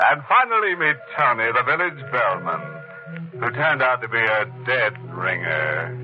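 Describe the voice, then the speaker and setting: Give the voice of a gleefully macabre American radio horror host from the 1940s. He speaks slowly and swoops widely in pitch, mock-genial and theatrical. The sound is muffled, like a vintage broadcast. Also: a little hiss or hum. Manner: mock-genial, drawn-out delivery with ghoulish chuckles. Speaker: host and narrator.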